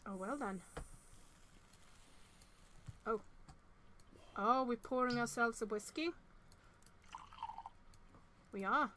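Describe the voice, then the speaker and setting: A woman with a fairly high voice hosts a visual novel playthrough. She reads the story aloud and adds casual reactions, occasionally tripping on words, with faint noise in the recording. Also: takes it slowly, pauses often, bright, forceful tone. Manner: reacts aloud, stumbles over words while reading. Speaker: host playing and reading a visual novel. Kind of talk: reading story text aloud with casual commentary.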